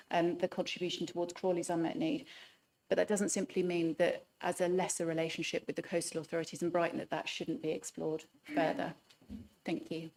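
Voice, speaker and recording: A soft-spoken woman making a formal statement at a public hearing, pausing often with hesitant ums. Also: on the low side, poor audio. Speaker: hearing participant.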